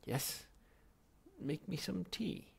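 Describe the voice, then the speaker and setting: A man's voice: sits high, sing-song, drawn-out words, gravelly, darkish tone, husky, pitched above the performer's own voice, full character voice. A man voicing a nervous, apologetic everyman in a science fiction audiobook, hesitant and bumbling. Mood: nervous, sheepish. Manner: hesitant, faltering.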